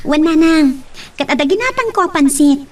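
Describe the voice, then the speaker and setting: A woman's voice: sits high, lively intonation, normal speed, far from the mic. A woman playing a pert but caring young daughter in a radio-style drama.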